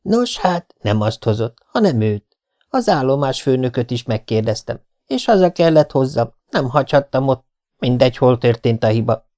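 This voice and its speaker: A man's voice: medium pitch, very animated, warm tone, lightly acted. A man reading an audiobook voices a shy, mild older farmer with a meek, hesitant manner.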